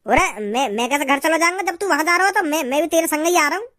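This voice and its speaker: Blustering, very high-pitched cartoon village boy. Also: fast talker, bright, forceful tone, no room sound, studio quality. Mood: cocky banter. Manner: blustering, dramatic. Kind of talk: comic Hindi cartoon dialogue.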